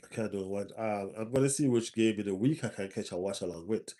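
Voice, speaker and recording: A man's voice: lowish voice, brisk, warm tone. A man with a stuffy nose hosting a casual live stream as a football fan, repeating his phrases for emphasis.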